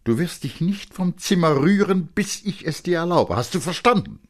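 A man's voice: a little high, expressive, moderate pace, pitched above the performer's own voice. A man voicing a stern, flustered mother in a literary audiobook, scolding her young son in a reproachful, commanding manner. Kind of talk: dramatic literary audiobook acting.

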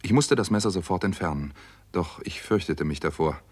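An actor playing a sober American doctor who narrates a 1960s German radio crime drama in a measured, dramatic way. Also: male voice, deep voice, breathy edge. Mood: grave, tense. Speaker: first-person narrator and lead actor.

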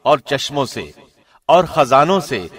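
A man with a mid-range voice reads a translation of scripture. His delivery is formal and measured, with the careful enunciation of a radio presenter working from a script. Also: medium pitch, very animated, fairly bright tone, breathy edge.

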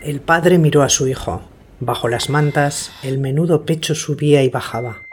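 A man narrating a scripted radio story in a measured, sober manner. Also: on the high side, a little hiss or hum.

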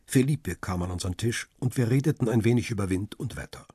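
An actor playing a paparazzo who narrates his own story in a radio crime play, measured, observant and descriptive. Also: lowish voice, mellow, slightly rough, no room sound, studio quality. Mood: observant, calm. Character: world-weary male paparazzo recounting his story, coolly observant. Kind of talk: scripted first-person radio drama narration.

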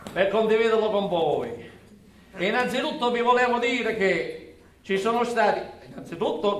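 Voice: medium pitch, reverberant, distant mic, lightly acted. An actor playing a bigoted, self-important nationalist video blogger who declaims with coarse, emphatic repetition in a theatrical satirical monologue.

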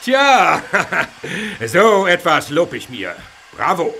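Gravelly fussy inspector, slow and a touch high, radio-play style. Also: male voice, wildly swooping pitch, bright, forceful tone, full character voice. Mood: pleased. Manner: indignant, then jovially approving. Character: stern fussy male railway inspector. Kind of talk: German radio play acting. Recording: noisy soundtrack recording.

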